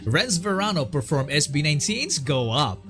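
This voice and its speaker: A man narrates a scripted story with a slightly high, very lively voice. He builds suspense with rhetorical questions. Music plays clearly underneath. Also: moderate pace. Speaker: documentary narrator.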